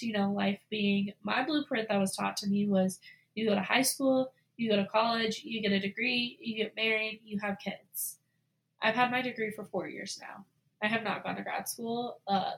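A woman in her twenties hosting a solo podcast, talking casually with lots of fillers and animated asides. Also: medium pitch, low-quality recording. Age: 20s. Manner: chatty, frequent "like" and "you know" fillers; animated, voices her own past thoughts. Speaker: podcast host.

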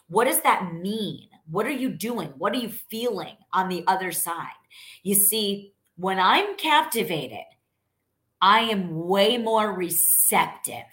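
Loud, energetic New woman coach. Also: medium pitch. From New York. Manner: loud, energetic, emphatic, asks the audience questions. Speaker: sales coach. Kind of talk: live-stream coaching talk.

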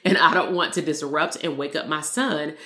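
A woman's voice: deep voice, steady tone, normal speed, reverberant. A woman hosting a solo motivational podcast as a therapist coach, talking earnestly and repeating key lines for emphasis. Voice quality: loud voice.

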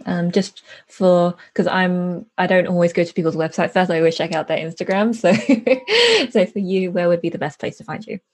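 A woman's voice: on the low side, expressive, moderate pace. A woman hosting a podcast interview in a chatty, informal and giggly way. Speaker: podcast host. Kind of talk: casual conversational interview.

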